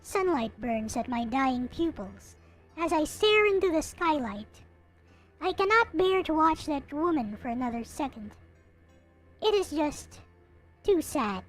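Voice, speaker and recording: Woman voicing a high-pitched, gloomy, brooding young soul. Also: lively intonation, a little slow, faint music behind. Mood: resigned, sullen. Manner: melancholy, brooding, theatrical. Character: gloomy, bitter, hopeless young person going blind, self-pitying narrator. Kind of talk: dramatic reading of a visual novel.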